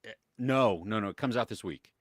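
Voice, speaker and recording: A low-pitched American man chatting loosely on a live stream, full of "um"s and quick laughs. The sound is dry and close, with no room around it. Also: dry recording. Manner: chatty, laughs often, many fillers. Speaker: podcast co-host. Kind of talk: casual live-stream chat.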